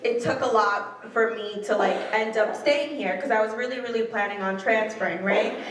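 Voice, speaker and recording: A woman from the Dominican Republic and New York City gives an informal personal testimonial to an audience, telling it as animated storytelling with quoted dialogue. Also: on the high side. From Dominican Republic, later New York City. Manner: animated storytelling, quoting herself and others. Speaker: law student ambassador speaker. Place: large indoor lecture hall, speaking into handheld microphone. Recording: echoey hall, distant camera mic.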